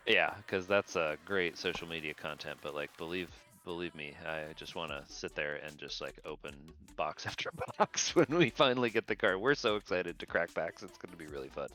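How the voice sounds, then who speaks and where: A man co-hosting a casual card game stream, chatting in an easygoing way that trails off quietly. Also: deep voice, animated, moderate pace, hardly any pauses. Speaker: stream co-host. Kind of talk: casual chat and game commentary. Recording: music in the background.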